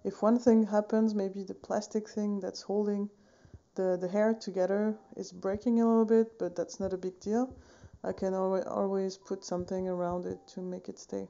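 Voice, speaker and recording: A woman in her thirties from Switzerland, chatting casually about her painting in a relaxed, rambling way, with many fillers and small self-corrections. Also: medium pitch, normal speed, vocal fry, highs cut off. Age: 30s. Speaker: painting vlogger. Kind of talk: casual tutorial chat. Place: home studio room, indoors, wooden shelves. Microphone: phone camera at desk distance.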